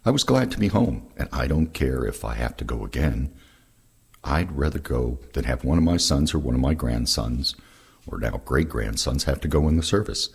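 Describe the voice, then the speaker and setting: Very husky, gravelly deep-voiced man. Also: expressive, moderate pace. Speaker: reader of veterans' recollections. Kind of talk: reading oral history aloud, scripted narration.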